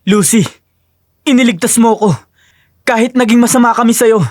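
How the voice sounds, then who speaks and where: A woman's voice: on the high side, steady tone, moderate pace, darkish tone, no echo, studio quality, natural voice. A woman voicing a lovesick, weak-willed young husband, earnest and pleading, in a dramatic audio story. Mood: stunned, grateful.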